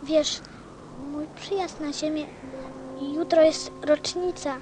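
Slow, high-voiced little boy prince. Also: steady tone, background noise. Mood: solemn, wistful. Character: gentle, earnest little prince, a small boy. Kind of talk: radio play acting. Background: music.

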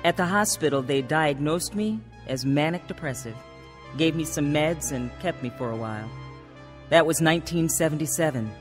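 A woman's voice: deep voice, moderate pace, faint music behind, lightly acted. An actress playing a troubled adult daughter who tells her own story in a radio drama. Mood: somber, reflective. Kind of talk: radio drama narration and acting.

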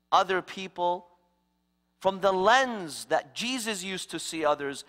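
A middle-aged man preaching an interfaith sermon to a church congregation, slow and emphatic with dramatic pauses. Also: high voice, bright, forceful tone. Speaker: guest preacher. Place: large church sanctuary, standing before the congregation. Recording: reverberant church hall.

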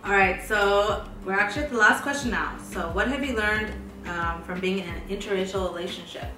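A young pregnant woman co-hosting a casual couple's Q&A, relaxed, with frequent "like" fillers. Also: mid-range voice, brisk. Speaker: co-host of a couple's channel. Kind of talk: casual Q&A chat. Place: bright living room, sitting on a couch. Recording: roomy, distant sound with background music.

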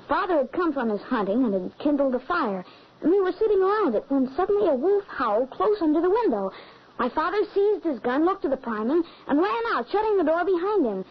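An actress playing an earnest young boy in a 1940s radio drama, with a wistful storytelling manner. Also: sits high. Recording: muffled old radio recording.

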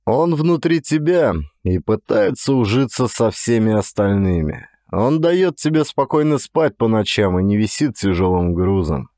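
A man's voice: lowish voice, expressive, a little slow, hardly any pauses, mellow, dry recording. Male audiobook narrator, very dry sound. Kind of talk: scripted narration of a detective thriller.

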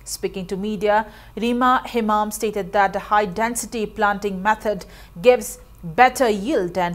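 A woman reads a regional news bulletin in a formal, even newsreader style. Her voice sits at an ordinary middle pitch, and she reads steadily through the scripted report. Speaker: news anchor. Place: studio.

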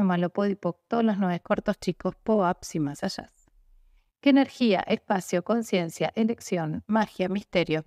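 A young woman reciting set clearing phrases in a steady, rhythmic voice-over. Her pitch sits mid-range for a woman, and the recording is close and dry. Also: no room sound. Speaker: facilitator. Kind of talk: repetitive clearing statements.

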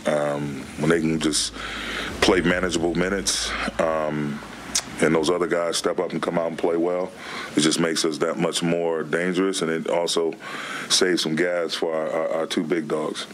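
A middle-aged man, a basketball head coach, answering questions at a postgame press conference in a plainspoken, relaxed way. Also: rumbling low, normal speed, a little hiss or hum. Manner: relaxed, plainspoken, frequent "man" and "you know". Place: press room before a team backdrop. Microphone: table microphone.

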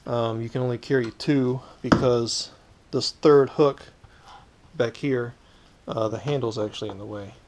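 A man casually walking through his gear item by item, as the host of a hands-on fishing vlog. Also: medium pitch. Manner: informal, explaining item by item. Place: indoors in a cluttered garage. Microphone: head-mounted action camera. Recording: clicky, noisy action-camera audio.

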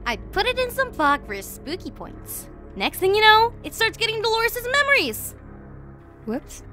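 Casting: a sadistic, playful goddess of destruction for horror audio drama; high, very animated, theatrical, sweetly venomous. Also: female voice, moderate pace, soft background music, full character voice. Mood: gleeful, playful. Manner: theatrical, mocking, giggly, swinging from sweet to venomous. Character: gleefully cruel, playful world-killing goddess villainess. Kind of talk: villain monologue in an audio horror series.

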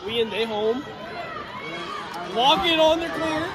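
A young man vlogging on his phone with friends, casual and excitable, shouting over a crowd at a basketball game. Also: very high-pitched, slow. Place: school gym, then packed basketball gymnasium. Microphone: phone held at arm's length. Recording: loud crowd noise in a gym.

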